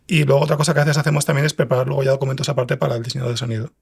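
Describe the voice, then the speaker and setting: A man talks quickly in a casual, explanatory way as an interview guest. His mid-pitched voice is full of heavy fry and sounds rough and husky. He often illustrates points by voicing what someone said. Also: little pitch movement. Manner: explanatory, illustrates with quoted remarks. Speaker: guest, fiction podcast writer.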